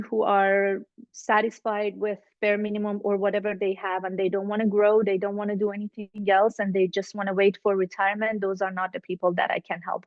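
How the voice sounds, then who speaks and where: A woman's voice: medium pitch, no echo, lo-fi sound. A woman from the Middle East, English not her first language, speaking as a mindset coach in an online networking circle, earnest and motivational, repeating phrases for emphasis. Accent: Middle Eastern. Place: home, video call. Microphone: video call.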